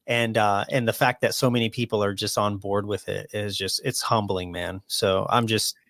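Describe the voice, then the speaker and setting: A man in his early forties talking casually as a podcast guest, relaxed, often saying "man" and "dude". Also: fairly low pitch, moderate pace, gravelly, dry recording, studio quality. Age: 40s. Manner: laid-back, says "man" and "dude" a lot. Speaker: podcast guest, awards show founder.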